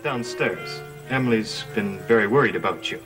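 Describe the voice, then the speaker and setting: A man's voice: fairly low pitch, moderate pace, natural voice. An actor playing an inquisitive, concerned man in a gothic soap opera, direct and questioning. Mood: concerned, curious. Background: dramatic music.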